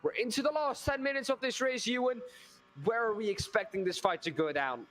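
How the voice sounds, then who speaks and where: A man's voice: extremely high pitch, fairly quick, a bit bright, faint music behind. A man doing live commentary on a sim race, chatty and putting questions to his co-commentator.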